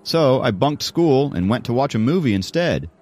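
A man's voice: medium pitch, expressive, normal speed, darkish tone, voice pushed up, close to an everyday voice. A man voicing a proud, wry teenage schoolboy in an audiobook. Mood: amused storytelling. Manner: wry, boastful. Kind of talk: audiobook character voice.

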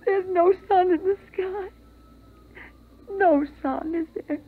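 A woman's voice: very high voice, slow pace, exaggerated voice. An actress playing a fragile, distraught mother lost in wistful memories in a 1950s radio drama. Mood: plaintive, longing. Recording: tinny 1950s radio recording.

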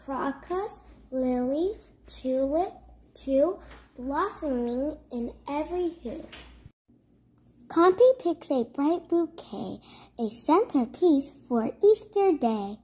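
High-pitched child reading a storybook slowly. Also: lively intonation, warm tone. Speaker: host of a story-time channel. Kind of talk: read-aloud of a children's rhyming picture book.

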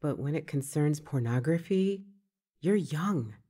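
An actress playing a discreet pastor's wife in an audio drama, giving cautious counsel in a mellow, husky voice. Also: female voice, sits low, expressive, measured pace, heavily acted. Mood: cautioning.

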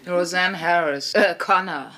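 A woman's voice: on the low side, unhurried, crowd noise, natural voice. An actress in a German sitcom dub playing a brash, sardonic working-class mother, blunt and full of wisecracks. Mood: wry.

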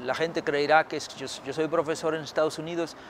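Middle-aged man from Mexico, slightly high voice, reflective interview answers with hesitations, faint traffic outdoors. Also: steady tone, brisk. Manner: thoughtful, many fillers and restarts. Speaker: historian author. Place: outdoors by a building.

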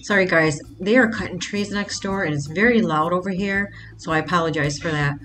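A woman's voice: on the low side, fairly quick, fairly bright tone. A woman hosting a craft channel, talking casually step by step through a project in a voice-over, with the odd filler. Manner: plain step-by-step explaining with fillers.

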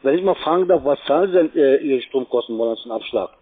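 A man with grammar makes an insistent cold-call pitch. He talks quickly at a medium pitch, and the line is tinny and narrow like a telephone. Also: expressive. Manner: pushy, insistent, halting grammar. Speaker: call center electricity salesman. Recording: tinny, band-limited phone line.

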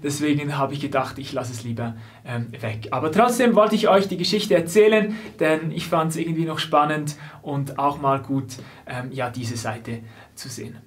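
Higher-voiced young man recounting calmly. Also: moderate pace. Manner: calm, explanatory, soft laughs between phrases. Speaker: drummer and vlogger. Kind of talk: seated piece-to-camera storytelling. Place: small indoor set with lamp and painted backdrop. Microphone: fixed camera, seated framing.

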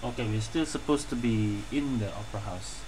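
A woman's voice: rumbling low, a little fast, very noisy. A woman giving casual gameplay commentary as the host of a gaming video. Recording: loud game music behind voice. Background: video game music.

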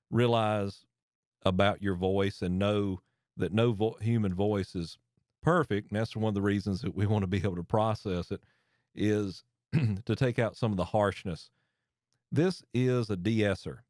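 A man in his thirties with a lowish voice walks through audio gear settings in a relaxed, chatty way, breaking off and restarting phrases as he turns knobs. He speaks into a close studio microphone at a home desk, and the sound is dry and up front. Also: dry recording. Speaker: audio gear tutorial host. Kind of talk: casual hands-on demonstration. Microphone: studio mic on arm close to face.

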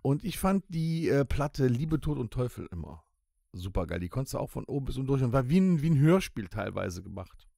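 A man's voice: medium pitch, big pitch swings, a little fast, gravelly, dark tone, no echo, studio quality. A man talking casually as a podcast co-host among friends. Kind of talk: casual chat.

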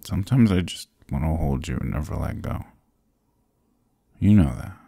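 A man voicing a stubborn but affectionate sick young boyfriend in an intimate ASMR roleplay, soft, husky and drowsy, murmuring close to the mic. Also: extremely low pitch, lively intonation, normal speed, dry recording, heavily acted. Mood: loopy, tender. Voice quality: husky, gravelly, breathy. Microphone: close, dry microphone.

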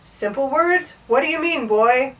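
Woman voicing a loud, pompous old storybook king. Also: high-pitched, animated, measured pace, far from the mic, pitched above the performer's own voice, poor audio. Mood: indignant, bellowing. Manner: pompous, commanding, groaning and bellowing. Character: proud, blustering old king, sorrowful when humbled. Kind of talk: storybook character voice.

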